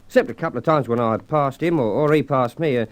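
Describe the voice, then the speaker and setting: An actor playing a plain-spoken, defensive jockey in an old radio crime drama, folksy and flustered. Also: male voice, mid-range voice, fast talker, natural voice. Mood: puzzled, earnest. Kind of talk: 1950s Australian radio crime drama acting.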